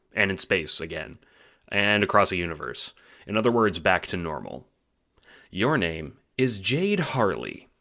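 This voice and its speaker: Low-pitched man narrating an audio drama. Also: a bit bright. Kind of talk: scripted storytelling narration.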